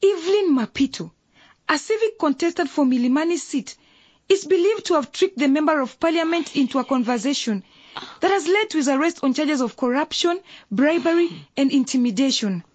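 A very high, animated voice of a loyal teenage sister in a radio drama. She is earnest and excitable, with big swings of pitch, recorded close and dry. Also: brisk, no echo. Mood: eager. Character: excitable, loyal teenage younger sister who sings in a choir.

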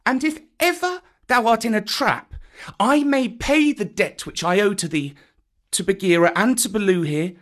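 A man doing a high earnest jungle boy. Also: normal speed, a bit bright. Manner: earnest, formal old-style speech. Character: boy raised by wolves, small and earnest.

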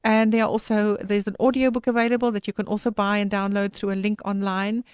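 A woman whose first language is Afrikaans, a guest author explaining her work in a slightly halting way. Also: medium pitch, level tone, a little mellow, smooth, no room sound, studio quality. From South Africa.

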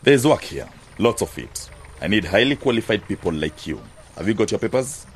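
Guy acting as hard-nosed farm businessman in a radio play, deep voice, sure of himself, some background noise. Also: big pitch swings, moderate pace. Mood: brisk, self-assured. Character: proud, hard-headed businessman farmer running a big peanut farm. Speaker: actor in a radio drama.

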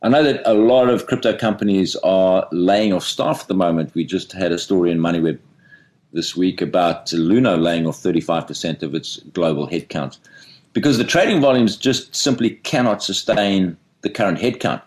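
Male interviewer, deep voice, measured and careful. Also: a little mellow. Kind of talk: interview questions and comment.

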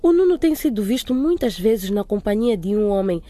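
An actress playing a firm but kind school principal, speaking in a calm, authoritative manner. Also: female voice, mid-range voice, moderate pace, close to an everyday voice. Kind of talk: radio drama acting.

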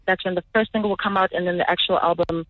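A woman's voice: on the low side, fairly even intonation, fast, dry recording. A South African woman speaking as a singer and guest in a casual radio interview, upbeat and gracious in manner. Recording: dull lo-fi radio feed.

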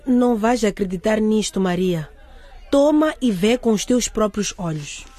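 An actress playing an excitable, romantic teenage schoolgirl with a husky voice, in a radio drama. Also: mid-range voice, a little slow, some chatter behind. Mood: amazed, teasing.

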